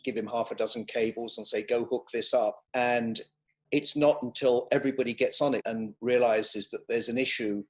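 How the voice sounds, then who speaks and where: A man with a middle-pitched voice explains working practice on a training panel. He speaks as an experienced technician.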